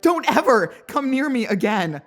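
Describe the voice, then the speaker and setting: A woman's voice: fairly high pitch, big pitch swings, moderate pace, pitched above the performer's own voice. A woman voicing a hot-tempered young woman fighter in a fantasy audiobook, panicked and furious, with a shaky voice that cracks. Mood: angry, bitter. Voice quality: shaky, cracking when upset. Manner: frantic, dramatic outbursts. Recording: background music behind the voice.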